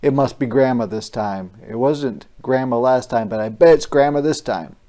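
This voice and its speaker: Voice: mid-range voice, moderate pace. A storyteller reading a picture book aloud to children, with playful, wondering asides. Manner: animated, playful wondering asides to young listeners. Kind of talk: interactive children's read-aloud.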